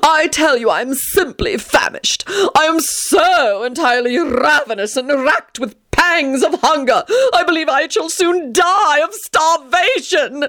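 A woman's voice: high-pitched, expressive, a little slow, a bit bright, voice pushed up. A woman voicing Tony, a haughty and melodramatic young male who complains grandly and wails in outrage. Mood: haughty complaining. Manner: theatrical wailing, grandiose complaints.

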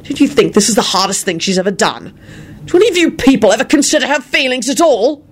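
A woman voicing a sarcastic, quick-tempered man in a story, with a threatening edge. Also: lowish voice, sing-song, husky, full character voice. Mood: dangerous anger. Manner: sardonic, threatening. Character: coolly sarcastic, quick-tempered man, dangerous when angry. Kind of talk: audiobook character acting.

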